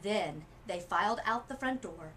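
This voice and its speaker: A woman reading a children's storybook aloud as a literacy coach, with expressive emphasis and dramatic pauses. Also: mid-range voice, a little hiss or hum.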